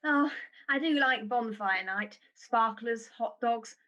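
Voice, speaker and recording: A chatty, mild committee woman acted by a woman in a radio pantomime. The voice is bright and fairly high, obliging in manner. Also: slow pace, lo-fi sound. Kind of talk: pantomime radio play acting.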